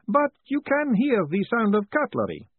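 A man voicing a cheerful, fussy male wizard professor who sounds high, bright and chatty. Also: wildly swooping pitch, normal speed, husky, dry recording, exaggerated voice. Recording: tinny phone-like audio.

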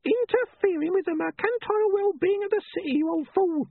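A man voicing a bossy old wife, scolding shrilly as she shouts up from the street. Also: very high-pitched, a little fast, creaky, dry recording, phone-quality sound, pitched above the performer's own voice. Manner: bossy, nagging.

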